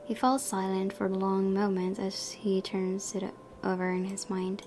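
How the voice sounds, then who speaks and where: A woman's voice: medium pitch, little pitch movement, measured pace, darkish tone, slight background noise. A young woman hosting a gaming video, reading story narration aloud and breaking into casual commentary.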